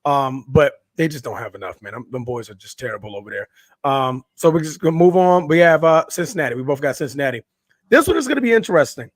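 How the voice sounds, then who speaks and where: A man with a somewhat high, raspy, hoarse voice talks through game picks in a loose, rambling way, with lots of ums and you-knows. The sound is dry and close. Casual sports-podcast chat. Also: fast, no echo. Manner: relaxed, rambling with many fillers. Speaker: podcast co-host.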